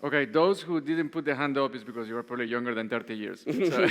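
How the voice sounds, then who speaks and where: A man's voice: mid-range voice, lively intonation, a little fast, a bit bright. A man from Venezuela speaking informally as a panelist, introducing himself to an audience. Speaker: panelist, serious games designer. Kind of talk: informal live self-introduction. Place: conference hall, before an audience. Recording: echoey hall with long reverb.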